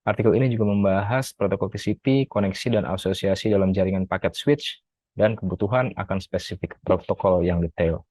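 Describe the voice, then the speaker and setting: A man's voice: sits low, fairly quick, a little mellow, dry recording. A male graduate student steadily reading a prepared academic article review, with occasional self-corrections. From Indonesia.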